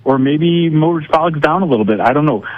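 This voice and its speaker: A man's voice: a little high, lively intonation, fast talker, lo-fi sound. An American man giving casual sports analysis as a guest broadcaster on a radio show.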